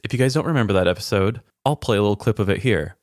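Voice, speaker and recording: Guy podcast cohost, lowish voice, earnest n kinda searching for words, dry close sound. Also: expressive, very fast, darkish tone, no room sound. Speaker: podcast co-host. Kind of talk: personal, conversational podcast talk.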